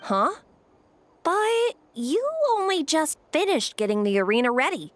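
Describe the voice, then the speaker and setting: An actress playing an earnest, cautious young woman who organizes an arena in a video game, expressive and friendly. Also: sits high, unhurried, dry recording. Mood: hesitant. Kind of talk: video game dialogue acting.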